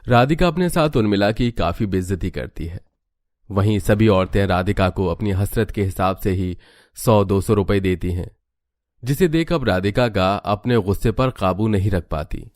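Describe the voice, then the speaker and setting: Low-voiced man narrating a story. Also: expressive, moderate pace, darkish tone, no room sound, studio quality. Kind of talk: scripted storytelling narration for Hindi cartoon.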